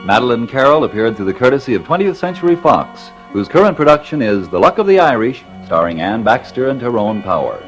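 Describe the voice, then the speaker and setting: A male radio announcer reads in a polished, emphatic 1940s style. His mid-pitched voice swings with lively intonation over faint music on a vintage broadcast. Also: a little fast, hardly any pauses, poor audio.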